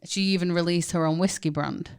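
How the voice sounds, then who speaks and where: A woman's voice: on the low side, a little fast, vocal fry, clear, resonant tone. A young adult woman from England with a Manchester accent, chatting casually and animatedly as a podcast co-host. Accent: Manchester English. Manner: animated, chatty, laughs often. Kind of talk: casual chat.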